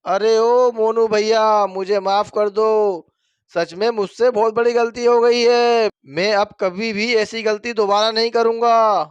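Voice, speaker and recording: Storybook crook voiced by a man: treacherous and cowardly, high clear voice. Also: fairly even intonation, slow pace, no echo, lo-fi sound. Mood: pleading, frightened. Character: gloating, treacherous gangster turned cowardly pleader. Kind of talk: dramatized Hindi moral horror story.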